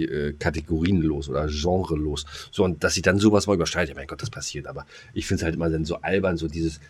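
A man with a fairly low voice talks as a podcast co-host. His style is casual banter. He hesitates often, feeling around for the next word. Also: a little mellow, husky.

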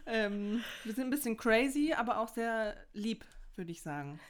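A woman's voice: on the high side, lively intonation, normal speed. A young woman hosting a casual podcast, talking in an upbeat, giggly and rambling way. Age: young adult. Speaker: podcast host. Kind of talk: casual chat.

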